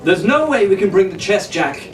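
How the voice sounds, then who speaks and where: Man doing anxious pirate lad, storybook, rushed shouting, raised pitch, roomy sound. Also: very animated, soft background music. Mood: panicked. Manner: shouting, hurried. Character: anxious, practical young male pirate crewmate. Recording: roomy, distant, noisy recording.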